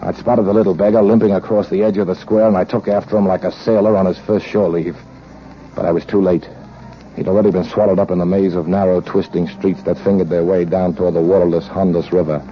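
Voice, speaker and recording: An actor playing a cynical, money-hungry American gunrunner in 1940s radio drama, wry and wisecracking, with hard-boiled narration. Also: male voice, low-pitched, little pitch movement, fast talker, natural voice. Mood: dry, determined. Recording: muffled, noisy 1940s radio recording.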